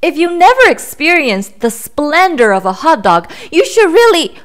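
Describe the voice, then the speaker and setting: A woman's voice: high voice, very animated, normal speed, pitched above the performer's own voice. A woman voicing a possessive, easily exasperated pigeon in a children's storybook, theatrical and emphatic. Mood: rapturous. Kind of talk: children's storybook read-aloud.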